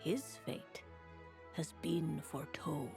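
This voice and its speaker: A creaky, solemn seer witch. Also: low voice, exaggerated voice. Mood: ominous. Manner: grandiose, archaic, measured pronouncements. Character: solemn female seer witch, proclaiming prophecy, haughty and world-weary. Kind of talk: theatrical fantasy game voice acting. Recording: music underneath.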